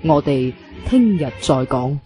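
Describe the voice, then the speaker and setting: A man's voice: on the high side, very animated, unhurried, over music. A man with a Cantonese accent reading a dramatic scripted teaser as a radio drama announcer. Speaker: episode announcer.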